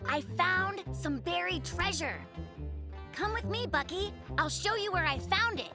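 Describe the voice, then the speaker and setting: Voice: very high voice, expressive, a little slow, exaggerated voice. An actor voicing a sensible, friendly young male polar bear cub in a children's cartoon, childlike and matter-of-fact. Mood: curious, eager. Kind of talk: children's animated cartoon acting. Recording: music clearly behind the voice.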